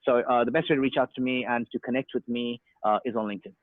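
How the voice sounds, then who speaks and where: A man's voice: mid-range voice, fairly even intonation, fast talker, dry recording. A man in his thirties with an Australian accent, raised there after an Indian birth, talking at length with lots of "uh"s and restarts. His voice comes through a tinny, phone-like video call line. The sound is dry, with no room around it. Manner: talkative, hesitant with many fillers and restarts. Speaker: interview guest, sales and marketing professional. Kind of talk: casual online interview.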